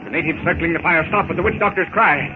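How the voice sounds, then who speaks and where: A man's voice: on the high side, quick pace, over music. Theatrical old-radio narrator, tinny male voice. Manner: theatrical, short clipped suspenseful phrases. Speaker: announcer and narrator. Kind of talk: dramatic 1930s radio serial narration. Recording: scratchy, tinny 1930s radio recording.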